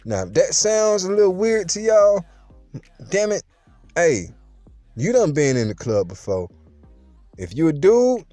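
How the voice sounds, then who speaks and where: Animated storytelling man with street slang. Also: a little high, no echo. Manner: streetwise, playful, boastful slang, addresses listeners directly. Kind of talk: casual storytelling to viewers.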